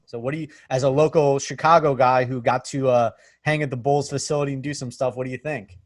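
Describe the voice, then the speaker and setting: Man, mid pitch, dry close sound, relaxed rambling chat. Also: fast talker, no echo. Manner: easygoing, rambling with fillers. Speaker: band member hosting a video chat. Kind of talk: casual chat. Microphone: video call.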